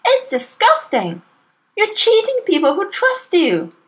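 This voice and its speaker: A woman's voice: extremely high pitch, wildly swooping pitch, unhurried, a bit bright, pitched above the performer's own voice, exaggerated voice. A woman voicing a clever, outspoken young girl who speaks earnestly. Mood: indignant. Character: sharp-minded indignant young girl.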